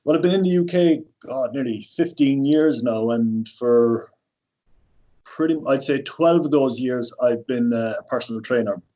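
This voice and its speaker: A man's voice: medium pitch, moderate pace, dark tone, poor audio. An Irish man in his thirties, a personal trainer, talking casually as a podcast guest with lots of fillers and restarts. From Ireland. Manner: rambling, many fillers and restarts.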